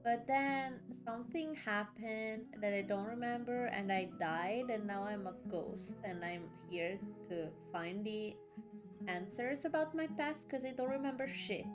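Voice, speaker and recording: A woman's voice: on the high side, a little slow. A woman streamer giving casual game commentary in a joking, hesitant, rambling way. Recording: clear game music behind.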